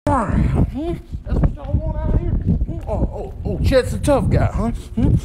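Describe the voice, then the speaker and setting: A man voicing a belligerent, cocky bull in a comic voiceover, shouting taunts between questioning grunts. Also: very high voice, sing-song, a little slow, very noisy, pitched above the performer's own voice, exaggerated voice. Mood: aggressive, taunting.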